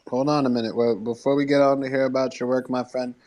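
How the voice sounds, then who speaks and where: A man's voice: medium pitch, little pitch movement, quick pace, darkish tone. A man hosting a podcast in a live audio room, promotional and encouraging, speaking in casual slang. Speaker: show host.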